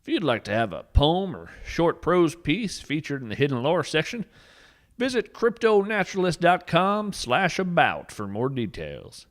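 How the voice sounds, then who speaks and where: A man's voice: fairly high pitch, huge pitch swings, moderate pace, pitched above the performer's own voice. A man playing a folksy, eccentric naturalist adventurer, narrating a whimsical fiction podcast in a theatrical, earnest manner. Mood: friendly, informative. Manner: folksy, theatrical storyteller delivery. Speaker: podcast host and storyteller.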